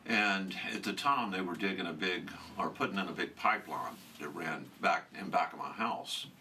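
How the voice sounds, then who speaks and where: A man's voice: low-pitched, moderate pace, pushed and bright, slight background noise. A man telling a personal story step by step in an interview. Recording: distant mic, faint ambience.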